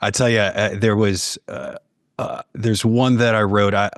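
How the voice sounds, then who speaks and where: A man from the United States chatting as a podcast guest, telling stories casually and pausing to search for words. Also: on the low side, fairly even intonation, no room sound, studio quality. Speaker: podcast guest, horror fiction writer. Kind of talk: casual conversational storytelling.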